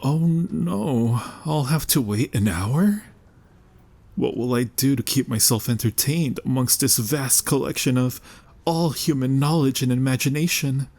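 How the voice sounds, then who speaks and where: Husky, slow-talking young mafia charmer played by a man. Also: medium pitch, darkish tone. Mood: playfully dramatic. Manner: playful, smooth-talking, mock-sincere. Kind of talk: ASMR boyfriend-style audio roleplay.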